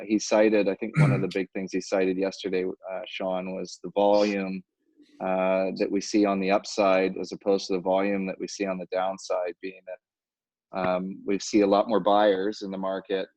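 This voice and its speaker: A man giving a market update on a conference call, halting and full of fillers. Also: low voice, completely flat delivery, a little mellow, dry recording. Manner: halting, many fillers and restarts. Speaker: financial advisor. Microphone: phone or conference line. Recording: dull lo-fi conference call audio.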